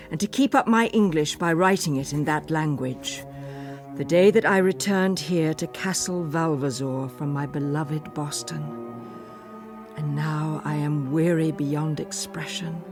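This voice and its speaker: Brooding old noblewoman, diary monologue, slow n low, music playing behind. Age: older. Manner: solemn, measured, dramatic. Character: weary, brooding old noblewoman writing her secret journal. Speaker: actor. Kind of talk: radio drama diary monologue.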